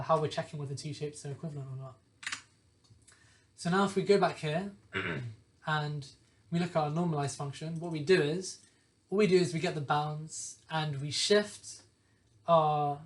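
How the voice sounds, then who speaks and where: Young man, hoarse, walking through code. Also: fairly high pitch. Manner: methodical, corrects himself often. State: hoarse from a lingering cough. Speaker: programming tutor. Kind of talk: screen-share coding walkthrough.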